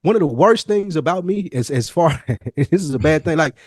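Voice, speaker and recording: A man with an American accent and a somewhat high-pitched voice talks casually on a podcast. The sound is dry, with hardly any room to it. He is candid and emphatic, with informal slang. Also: lively intonation, normal speed, no room sound. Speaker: podcast host.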